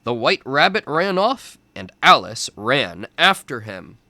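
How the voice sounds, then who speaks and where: Slow, animated man reading a children's storybook. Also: mid-range voice, no room sound. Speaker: tutor reading a storybook aloud to young children. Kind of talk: children's storybook read-aloud.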